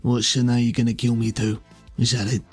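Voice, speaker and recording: A man voicing a proud, bitter armoured villain in a tabletop game, taunting and threatening, with a slightly husky voice. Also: mid-range voice, flat delivery, fairly quick, darkish tone, natural voice. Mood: accusing, taunting. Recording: music behind the voice.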